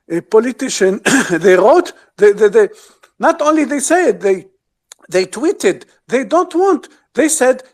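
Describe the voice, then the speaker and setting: Man from Lebanon, high voice, halting, lots of fillers; guest analyst in a casual discussion. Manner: halting, searching for words, many fillers.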